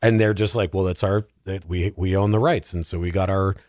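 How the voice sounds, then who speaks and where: A man chatting casually about films as a podcast guest, animated, opinionated and colloquial in his talk. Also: deep voice, a little fast, dry recording, studio quality. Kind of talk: casual film discussion.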